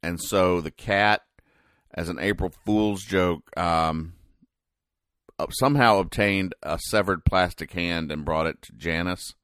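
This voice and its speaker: Deep-voiced man, deadpan and halting. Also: no room sound, studio quality. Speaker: podcast host. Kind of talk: casual comic-strip recap commentary.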